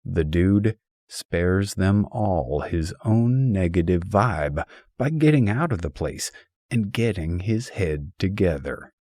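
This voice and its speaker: A low-pitched male narrator takes his time over a humorous essay, his delivery wry and mock-scholarly. The tone moves up and down in a lively way. The recording is dry and close. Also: slow, no echo. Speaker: audiobook narrator.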